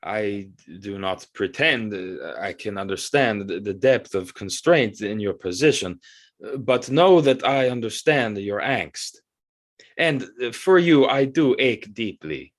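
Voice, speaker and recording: A man playing a flattering, deferential court artist who speaks in a formal, halting way in an audio drama. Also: fairly low pitch. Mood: sympathetic, hesitant. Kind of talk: theatrical audio drama acting.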